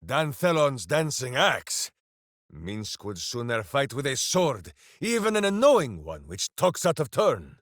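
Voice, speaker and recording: An actor playing a big-hearted, simple-minded berserker warrior in a video game, grandiose and earnest. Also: male voice, mid-range voice, big pitch swings, measured pace, a bit bright, no echo, clean studio sound. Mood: hearty, earnest. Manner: grandiose, speaks of himself in third person. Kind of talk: fantasy video game voice acting.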